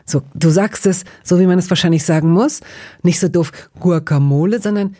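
A woman hosts a relaxed podcast conversation with a mellow, soft-edged voice. She muses thoughtfully, sometimes rushing through a thought before settling again. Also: on the low side, no room sound, studio quality. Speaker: podcast host. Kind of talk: casual podcast interview.

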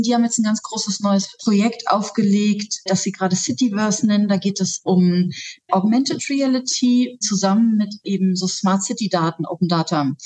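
Husky, enthusiastic woman interviewee. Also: mid-range voice, a little mellow. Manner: enthusiastic, quick, many fillers. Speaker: head of a theater's digital department. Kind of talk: casual interview answers. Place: works in Augsburg.

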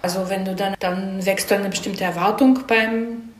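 A woman's voice: medium pitch, steady tone, normal speed. A woman speaking as an interviewed screenwriter, explaining things casually and often checking for agreement with little tags. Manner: conversational, frequent "ne?" tags seeking agreement. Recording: echoey room.

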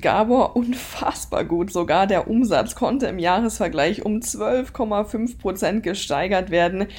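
A woman's voice: medium pitch, darkish tone. A woman giving a news report as a financial markets correspondent.

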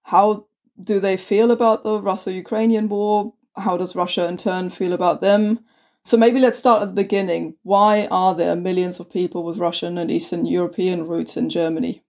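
A woman's voice: lowish voice, fairly even intonation, moderate pace, darkish tone. A woman co-hosting a conversational history podcast, chatty, with many restarts and fillers. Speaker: podcast co-host.

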